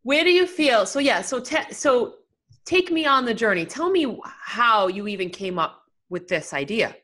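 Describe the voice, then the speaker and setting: A woman's voice: fairly high pitch, sing-song, normal speed, a bit bright. A woman hosting a podcast, chatty and encouraging as she introduces and questions her guest. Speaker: podcast host. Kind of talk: casual interview talk.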